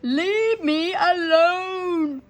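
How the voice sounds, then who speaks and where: Storybook grandmother at the end of her patience, played by a woman reader: high, loud, slowly stretched shouts. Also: faint music behind, exaggerated voice. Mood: exasperated shout. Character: exasperated old woman shouting to be left alone.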